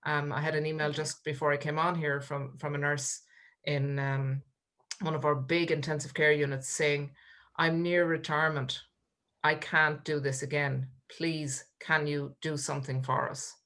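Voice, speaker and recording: Low-voiced woman, pausing. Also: level tone, fairly bright tone. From Ireland, Kerry Gaeltacht. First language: Irish. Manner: measured, pausing, frequent ums and uhs. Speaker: trade union leader, panel guest. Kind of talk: webinar discussion. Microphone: video call.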